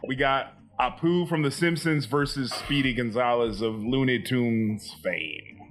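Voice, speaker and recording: A man's voice: mid-range voice, unhurried, loud music behind the voice. A young man from Florida co-hosting a casual comedy podcast, rambling with fillers and casual swearing. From Florida, USA. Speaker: podcast co-host.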